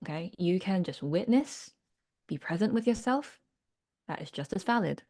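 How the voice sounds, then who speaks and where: A woman's voice: on the low side, expressive, normal speed, dark tone. Woman leading an online workshop. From United Kingdom. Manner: earnest, reflective, checks in with listeners. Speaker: workshop leader and business coach.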